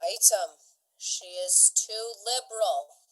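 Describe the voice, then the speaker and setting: A woman playing a cheeky young servant reading out in a drawn-out, declamatory way for a Shakespearean radio comedy. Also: mid-range voice, natural voice, poor audio. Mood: mock-solemn.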